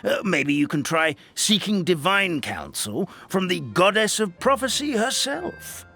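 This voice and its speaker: A stammering, kindly old grandpa. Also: male voice, high-pitched, very animated, unhurried, sharp tone, faint music behind, full character voice. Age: older. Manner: stammering, wistful, fond. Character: kindly old male forest elder, grandfatherly. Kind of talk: game cutscene voice acting.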